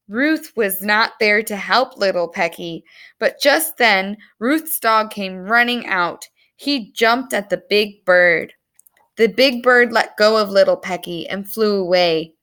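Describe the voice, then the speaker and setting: A woman reading a story aloud to young children in an animated, expressive storytelling manner. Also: on the high side, clean tone. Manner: animated, explains words to young listeners.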